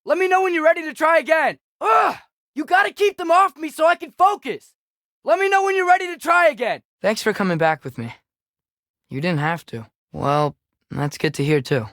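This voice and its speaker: A young male actor playing an earnest, impulsive teenage boy archer in a video game, expressive and youthful. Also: very high-pitched, normal speed, dry recording, clean studio sound, exaggerated voice. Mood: urgent, then earnest, pleading. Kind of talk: video game character dialogue.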